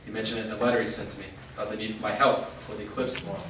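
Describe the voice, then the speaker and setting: A man playing a wounded, resolute part-vampire fighter in a staged reading, speaking in a serious, measured, explanatory way. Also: on the low side, little pitch movement, natural voice. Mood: guarded, serious. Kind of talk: staged reading of a fantasy drama. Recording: echoey room, distant mic, faint noise.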